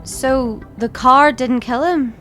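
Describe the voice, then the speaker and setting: A woman's voice: sits high, slow, a bit bright, soft background music. A young woman playing a plucky, sarcastic and incredulous student in an audio drama. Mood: shaken, curious. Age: young adult.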